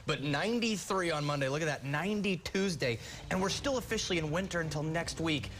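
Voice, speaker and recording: A man's voice: on the high side, brisk, a bit bright, slight background noise. An American man working as a TV meteorologist, giving a conversational weather forecast.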